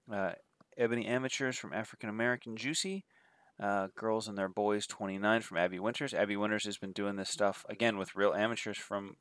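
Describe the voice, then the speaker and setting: A man's voice: fairly low pitch, a bit bright, dry recording. Nasal man with a cold. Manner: rambling, filler-heavy listing of titles. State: has a cold. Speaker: adult film reviewer. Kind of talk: casual spoken review.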